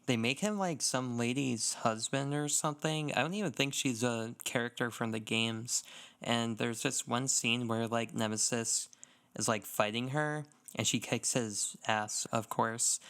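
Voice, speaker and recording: A man chats casually as a podcast co-host, his voice mid-pitched and clear with a noticeable creak at the edges. He talks in loose, filler-heavy phrases, working out his thoughts as he goes. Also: a bit bright. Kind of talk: casual gaming podcast chat.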